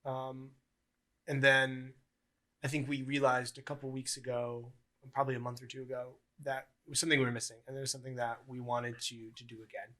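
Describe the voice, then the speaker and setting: A young man in his early twenties with a bright, clear voice talks in a relaxed, rambling way on a casual podcast with friends. He fills his pauses with ums and drifts between thoughts. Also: mid-range voice. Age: early 20s. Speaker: podcast co-host.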